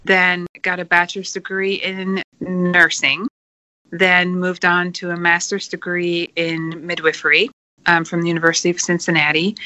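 A woman in her forties from the Netherlands, a podcast guest speaking earnestly and filling pauses with many ums. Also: lowish voice, pushed and bright, no room sound, rough recording. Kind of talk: podcast interview.

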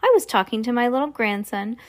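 A chatty storybook grandmother, put on by a woman reader, pitched well up high. She sounds breezy and polite, and stays composed and unflappable. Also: fairly quick, close to an everyday voice.

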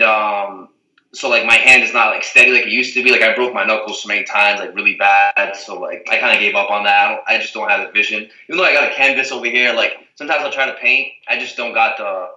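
A man's voice: on the low side, bright, forceful tone, roomy sound, recorded from a distance, low-quality recording. A young man from the Azores, a reality TV guest, chatting casually and rambling with lots of "like" and "you know what I mean". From Portugal, the Azores. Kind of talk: casual interview chat.

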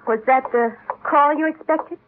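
A woman's voice: high voice, normal speed, background noise, lightly acted. An actress playing a determined, guarded young woman in a 1950s radio drama. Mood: coolly defiant. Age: young adult. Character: determined, guarded young woman investigating her father's death. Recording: muffled vintage 1950s radio recording.

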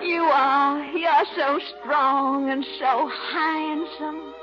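An actress playing a coy, gushing, flirtatious sweetheart in melodramatic 1940s radio comedy style. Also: female voice, high-pitched, steady tone, drawn-out words. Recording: dull old radio recording, music behind.

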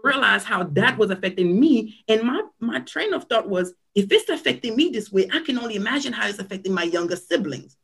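A woman's voice: a little high, lively intonation, brisk, creaky, hardly any pauses, a bit bright, husky. A woman from Cameroon in her thirties, a nurse practitioner giving interview answers in an earnest, reflective storytelling manner. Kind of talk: conversational interview.

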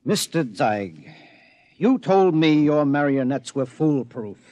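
A man's voice: on the high side, big pitch swings, slow, dark tone, no room sound. An actor playing an indignant, sardonic man with an emphatic, accusing delivery in an old-time radio drama. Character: indignant, sardonic friend confronting a dealer.

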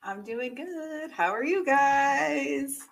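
A woman's voice: sits high, lively intonation, slow, vocal fry, crowd noise. A woman co-hosting a podcast in casual, playful chat with a teasing streak. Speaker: podcast co-host.

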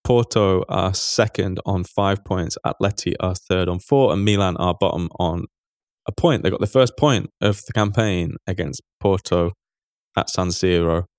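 Low dry guy hosting a football chat, chatty, keeps restarting sentences. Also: a little mellow, no room sound. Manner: chatty, self-correcting, quick asides. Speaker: podcast host.

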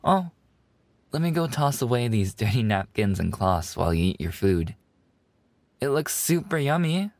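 A man's voice: mid-range voice, big pitch swings, normal speed, a little mellow, no room sound. A man voicing a playful, affectionate animal-boy maid café server in an ASMR roleplay, teasing and giggly in a cutesy way. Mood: teasing, cheerful.

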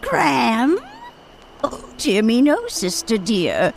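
Haughty radio-play villainess, slow imperious drawl, swooping melodrama, faint background noise. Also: female voice, on the high side, huge pitch swings, creaky, exaggerated voice. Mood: mocking. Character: haughty, theatrical villainess, one of twisted twin sisters. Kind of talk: old-time radio drama acting.

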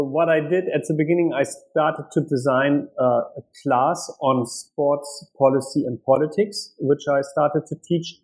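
A man from Germany, an author and academic explaining his work as a guest, measured and full of fillers. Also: fairly high pitch, darkish tone, rough recording. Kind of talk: academic interview.

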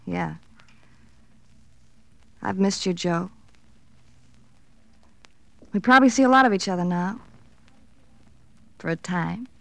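A woman's voice: on the low side, slow, vocal fry, pauses often, fairly bright tone, slight background noise, full character voice. An actress playing a brittle, scheming, cornered woman in a 1950s radio crime drama, theatrical and bitterly flippant. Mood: wistful, resigned.

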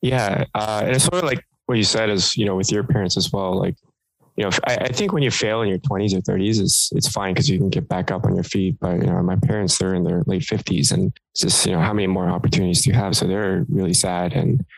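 A deep, gravelly young man talks in a loose, rambling way as a guest on an interview podcast. He is Korean-born and often restarts his sentences. The sound is dry and close. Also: steady tone, fast talker, no echo, rough recording. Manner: rambling, full of fillers and restarts. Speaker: guest entrepreneur. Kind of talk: casual interview talk.

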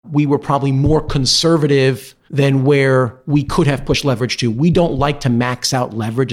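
A man answers questions as an executive guest in a business interview. His voice sits at a middle pitch. He explains things in an articulate, measured and diplomatic way.

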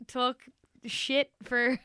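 A woman's voice: sits high, flat delivery, extremely slow, bright, forceful tone, clean tone, no room sound, studio quality. A woman co-hosting a comedy podcast, giggly, teasing and sweary. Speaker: podcast co-host. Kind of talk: casual comedy chat.

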